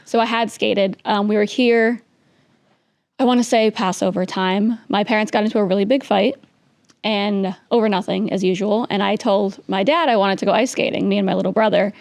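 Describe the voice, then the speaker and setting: A woman's voice: mid-range voice, steady tone, vocal fry, a little mellow, no echo, clean studio sound. A young woman from New York City, a Russian native speaker, telling her story as an interview guest, chatty and full of "like" and "um". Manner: chatty, quick, peppered with "like" and "um".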